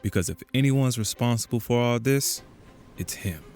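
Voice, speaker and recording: A man's voice: medium pitch, moderate pace, darkish tone, soft background music, lightly acted. A man playing a bitter, sardonic conspiracy believer in an audio drama, talking in an accusing, emphatic way. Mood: insistent, challenging. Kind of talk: audio drama, naturalistic phone conversation.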